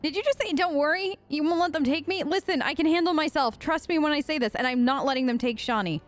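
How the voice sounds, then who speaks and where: A woman's voice: sits high, fast, soft background music. A woman improvising in character for a game let's play, sarcastic and defiant, with casual modern phrasing. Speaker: role-play let's-player.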